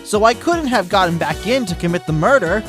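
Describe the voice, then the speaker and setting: A man voicing a cocky aerobics instructor defendant, taunting in a slow, mocking drawl. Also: high voice, animated, music in the background. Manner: taunting, drawn-out mocking delivery.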